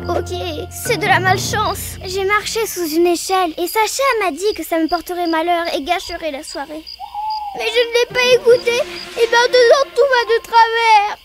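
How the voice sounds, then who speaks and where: Very high-pitched little cartoon girl. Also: steady tone, normal speed. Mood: upset, confessing. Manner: exclamatory, childlike, emphatic. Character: excitable little girl baby doll, stubborn and dramatic. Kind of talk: children's cartoon dubbing. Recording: music behind the voice.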